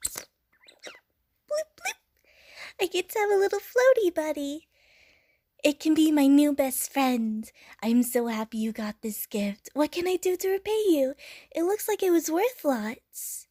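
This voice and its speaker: A woman's voice: high voice, mellow, dry recording, studio quality. A woman playing an innocent young water slime creature in an audio roleplay, with a childlike, playful, gushing manner. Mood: excited. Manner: childlike, playful, gushing, punctuated with little bloop noises. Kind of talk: audio roleplay addressed to the listener.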